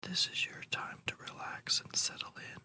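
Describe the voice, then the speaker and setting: Breathy whisper, bright airy tone, ASMR guided meditation, slow soothing delivery, deliberate phrasing.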